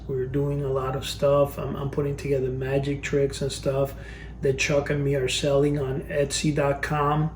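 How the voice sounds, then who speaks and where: Casual collector host, fifties, Cuban-born, gravelly and rambling, recorded at a distance in an echoey room with faint hum. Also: male voice, on the high side, darkish tone. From Cuba. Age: 50s. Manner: rambling, restarts phrases, chuckles at his memories. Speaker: collector reviewing vintage items. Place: cluttered storage room.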